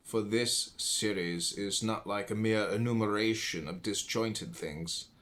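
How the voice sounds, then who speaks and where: A man reads philosophy aloud as a narrator, phrasing it deliberately and emphatically with pauses. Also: lowish voice, a bit bright. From United States. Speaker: audiobook reader.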